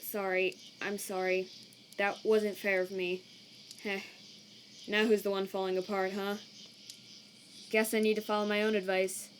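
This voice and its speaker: A woman's voice: mid-range voice, level tone, slight background noise, close to an everyday voice. A laid-back male cartoon skeleton acted out by a woman talks in a middling register. He is slangy and protective, with a weariness that makes him halt over his words. Night insects chirp faintly behind him. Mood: shaken, resolute. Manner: casual, slangy, halting when troubled. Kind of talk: comic dub acting. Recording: cricket night ambience behind.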